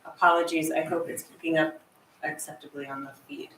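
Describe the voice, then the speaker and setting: A woman's voice: low voice, fairly even intonation, fairly quick, a bit bright. A woman chairing a planning board meeting, reading official notices and running the agenda, recorded in a town hall room. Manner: reads official notices, apologetic about audio. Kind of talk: formal meeting procedure. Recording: echoey room, distant mic, background noise.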